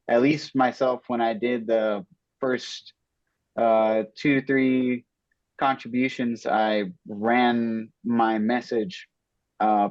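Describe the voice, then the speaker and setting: A man's voice: medium pitch, a little mellow, no room sound, lo-fi sound. A young man, a student guest on a podcast, speaking modestly and hesitantly with lots of fillers. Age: young adult. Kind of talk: casual podcast interview.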